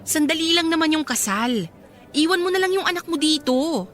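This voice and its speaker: Wheedling bride with lively, swooping pitch. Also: female voice, moderate pace. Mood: coaxing. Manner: coaxing, wheedling persistence. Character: insistent, scheming bride, pleading with her resentful half-sister. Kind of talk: emotional radio drama acting.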